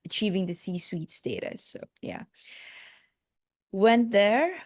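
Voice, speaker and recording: A woman's voice: mid-range voice, lively intonation, slow pace, a little mellow, dry recording, studio quality. A woman from Malaysia with a Malaysian accent, a life coach telling her life story as a podcast guest, reflective and hesitant with many fillers.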